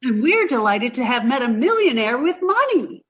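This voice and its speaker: A ditzy young woman played by an actress in a radio sitcom, guileless and earnest. Her voice is high and very lively, on a slightly dull recording. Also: moderate pace, husky, heavily acted. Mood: sweetly pleased. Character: ditzy, naive, cheerful young American woman. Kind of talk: old-time radio sitcom acting.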